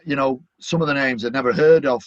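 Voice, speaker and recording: A man's voice: mid-range voice, quick pace, dry recording. Middle-aged Mancunian man, upbeat chatty guest, dry dull lo-fi sound. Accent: Manchester. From Manchester, England. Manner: chatty, upbeat, many "you know" fillers. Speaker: guest, stadium announcer. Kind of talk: casual interview chat.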